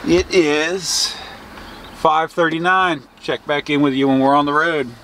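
A man's voice: a little high, flat delivery, measured pace, fairly bright tone. A man in his thirties, a fishing vlogger, chatting casually to the camera from his truck with an easygoing manner and plenty of "uh" fillers. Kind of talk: casual vlog narration to camera. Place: inside a pickup truck, on the road and by the water. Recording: engine and road noise behind.

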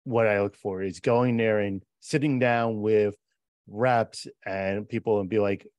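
A man in his forties, a programmer co-hosting a casual podcast over a video call, explaining his views earnestly in quick bursts, wearing headphones at a podcast mic. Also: on the low side, fairly even intonation, a little mellow, no room sound, muffled recording. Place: home office on a video call.

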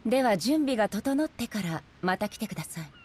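A stern warrior ruler from a Japanese game. Her voice sits at a middle pitch for a woman and is formal and solemn. She measures out each phrase with grave authority. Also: animated, fairly quick, darkish tone, breathy edge. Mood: solemn, commanding. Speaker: video game voice actress. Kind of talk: Japanese game character voice acting.

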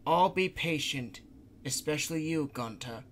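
A man's voice: fairly high pitch, animated, measured pace, fairly bright tone, a little hiss or hum, voice pushed up. A man voicing Mistress Kaku, a stern, bossy older woman who scolds the others. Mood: chiding. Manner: commanding, scolding.

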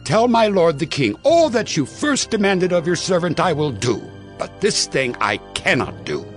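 A man's voice: on the high side, very animated, normal speed, soft background music. An actor playing a beleaguered king of Israel in a dramatized audio Bible, with declamatory delivery. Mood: aggrieved.

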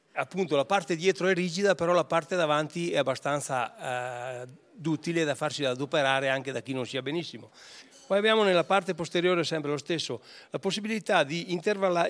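A man's voice: on the high side, a bit bright. An older man representing a ski brand explains boot features at an indoor booth, hesitating with many fillers and restarts into a handheld mic. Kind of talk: technical product explanation. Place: indoor ski test booth, wooden backdrop. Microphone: handheld interviewer mic.

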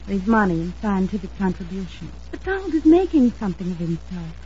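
A woman's voice: mid-range voice, expressive, a little fast, dark tone, slight background noise, close to an everyday voice. An actress playing an anxious, earnest young woman in an old-time radio drama, with dramatic, hesitant delivery. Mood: bitter, earnest. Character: earnest, anxious young woman, defensive about her sweetheart. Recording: dull old radio recording.

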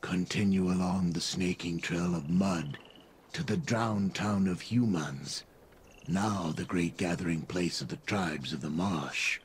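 An actor playing a grave, primal lizard-man of the marsh in a video game, speaking solemnly in halting broken English with breathy pauses. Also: low voice, a little hiss or hum. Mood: grave, brooding. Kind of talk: video game character dialogue. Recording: game dialogue over music. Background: music.

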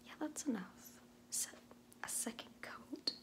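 Woman acting a sassy whispering makeup artist. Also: natural voice. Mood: dismissive, sassy. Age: 20s. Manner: sassy, condescending, hesitant valley-girl phrasing with drawn-out words. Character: sassy, condescending young female makeup artist, dismissive and defensive. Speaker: ASMR roleplayer. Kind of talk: whispered ASMR roleplay. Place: small indoor room at home, in front of a door.

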